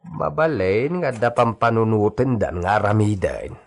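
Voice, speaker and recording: A man's voice: fairly low pitch, expressive, unhurried, vocal fry. A man playing an earnest, plain-spoken Filipino farm hand in a radio drama, sounding concerned. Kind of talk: Ilocano radio drama acting.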